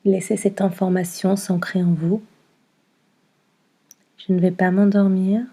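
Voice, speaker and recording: A woman leads a guided meditation as a yoga nidra teacher. Her voice is mellow and slightly husky, with little melodic movement. She gives short, soothing instructions and leaves long, calm pauses between them. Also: medium pitch.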